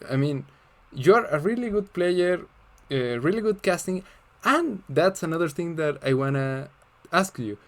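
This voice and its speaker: A man with a Spanish accent asks questions in English. His voice sits fairly high, and he sounds friendly and a little nervous. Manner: friendly, nervous, laughs often. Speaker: interviewer. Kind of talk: casual interview.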